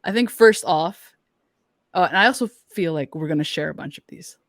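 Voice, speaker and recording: A man's voice: on the high side, moderate pace, fairly bright tone, no echo, studio quality. A young man co-hosting a podcast, talking informally and reflectively, as if thinking aloud. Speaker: podcast co-host. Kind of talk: casual conversational podcast talk.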